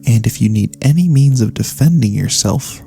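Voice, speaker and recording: A man playing a gentle elf cleric in a soft-spoken ASMR fantasy roleplay, speaking in a soothing, courteous, slightly formal way. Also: mid-range voice, expressive, moderate pace, gravelly. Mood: calm, kindly. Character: gentle, caring male elf cleric healer, pacifist. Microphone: close studio USB microphone.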